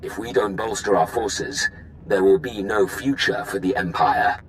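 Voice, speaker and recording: A man's voice: deep voice, measured pace, fairly bright tone, slight background noise, rough recording. A man playing a cool, defiant Imperial commander in a video game, firm, controlled and formal. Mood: firm, resolute. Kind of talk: video game cutscene acting.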